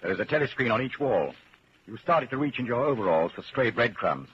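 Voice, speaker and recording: An actor as a grave, deliberate narrator telling a grim story in the second person, in 1940s radio drama style. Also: male voice, medium pitch, very animated, natural voice. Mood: ominous. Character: grave, ominous male narrator addressing the hero. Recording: dull, hissy 1940s radio recording.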